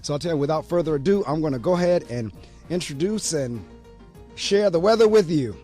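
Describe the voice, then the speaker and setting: A man's voice: fairly high pitch, expressive, a little fast, warm tone, faint music behind. A man hosting a morning radio show and reading the weather, with a slightly froggy voice, in an upbeat talkative way. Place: small college radio studio. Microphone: studio mic.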